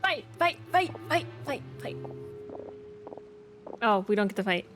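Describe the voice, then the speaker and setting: A woman's voice: a little high, sing-song, unhurried. A woman streaming a game, reacting casually to what happens on screen. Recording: stream mic over loud game music.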